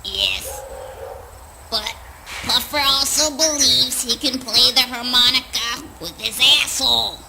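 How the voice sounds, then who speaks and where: An actress playing a sly, scornful old crone in a spoken word play, with a taunting, theatrical manner. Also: female voice, fairly high pitch, steady tone, takes it slowly, vocal fry, background noise.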